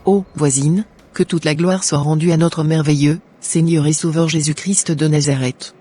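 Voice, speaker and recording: Casting: man as devout cartoon mother, fairly high husky voice, formal prayerful delivery. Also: fairly even intonation, moderate pace, dark tone, faint music behind. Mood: joyful. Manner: pious, formal, declamatory.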